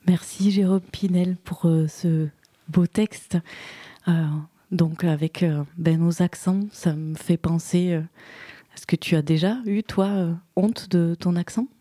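A woman radio host who gently asks her guest questions. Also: on the low side, fairly even intonation, takes it slowly, no room sound, clean studio sound. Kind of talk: radio interview.

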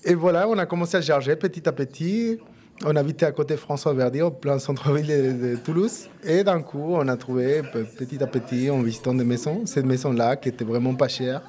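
Mellow man with an Argentine Spanish accent. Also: mid-range voice, fairly quick. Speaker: interviewee, musician and café co-founder. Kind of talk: casual interview answers.

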